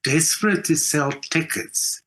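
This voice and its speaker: A man's voice: fairly high pitch, a little slow, a bit bright. A man with a South African accent talking as an interview guest, giving his opinions emphatically and repeating phrases for stress. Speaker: interview guest, performing artist. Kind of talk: opinionated conversation.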